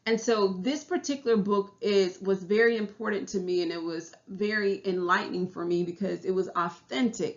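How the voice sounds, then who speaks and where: A Southern-accented woman teacher talks through her class presentation in an earnest, restarting way, her voice slightly gravelly at mid pitch. The recording sounds dull and lo-fi. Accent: Southern US English. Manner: earnest, repeats and restarts phrases.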